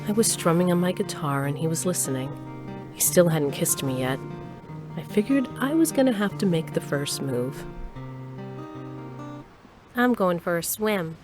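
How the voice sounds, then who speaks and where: Woman acting a low-voiced, wry ex-rocker. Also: animated, moderate pace, long pauses, music in the background, close to an everyday voice. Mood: wistful, confiding. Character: wry, wistful woman, ex-rocker recalling her youth. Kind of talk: first-person narration in audio drama.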